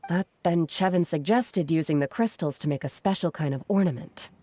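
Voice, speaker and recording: A woman playing a gentle, caring, modest retired artisan in a video game, soft-spoken and measured. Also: deep voice. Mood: modest, warm.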